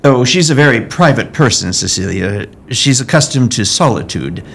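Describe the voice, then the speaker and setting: A man playing a genial, reassuring Victorian gentleman in a period audio drama, with polite old-fashioned phrasing. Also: mid-range voice, lively intonation, moderate pace, vocal fry, slight background noise. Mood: genial, evasive.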